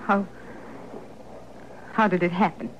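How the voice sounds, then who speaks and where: Bitter frontier daughter, muffled old radio. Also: female voice, lowish voice, steady tone, slow. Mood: bitter, accusing. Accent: American. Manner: curt, accusing, questioning. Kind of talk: old-time radio western acting. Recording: dull, noisy vintage radio recording.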